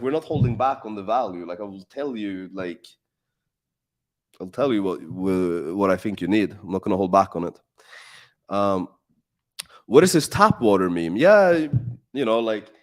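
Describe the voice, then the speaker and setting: A man hosting a live question-and-answer stream, talking casually with lots of swearing and filler words. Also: lowish voice. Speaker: live Q&A host. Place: in Warsaw.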